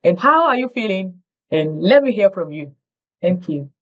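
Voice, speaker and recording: A woman's voice: mid-range voice, very animated, normal speed, muffled recording. A woman hosting an interview, effusive and stretching her words for emphasis over a video call. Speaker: interview host.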